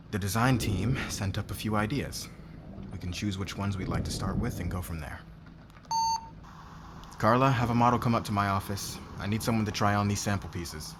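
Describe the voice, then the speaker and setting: A demanding male boss played by a man in an intimate ASMR roleplay, speaking in a low register over noticeable background noise. He is commanding and possessive, flirting smugly while keeping his guard up. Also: normal speed, lightly acted. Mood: businesslike. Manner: commanding, smug, intimate, swearing.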